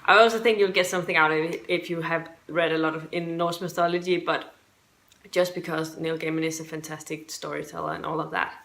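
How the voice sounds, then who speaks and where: A woman's voice: on the low side, fairly bright tone. A woman from Denmark with a Danish accent, giving a casual book review to viewers in a hesitant, rambling, self-correcting way. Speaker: book reviewer and video host.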